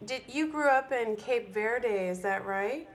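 A woman's voice: on the high side, unhurried. A woman podcast host interviewing a singer, asking admiring questions.

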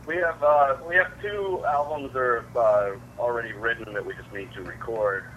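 A man's voice: medium pitch, normal speed, a bit bright, dull audio, slight background noise. A man, a musician guest, talking casually in an interview with many fillers and restarts.

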